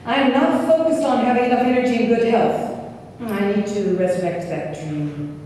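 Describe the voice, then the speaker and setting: A woman's voice: on the high side, normal speed, dark tone, slight background noise, natural voice. A woman playing an eager, anxious devotee in an amateur radio play, with a hesitant, earnest way of talking. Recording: distant echoey hall.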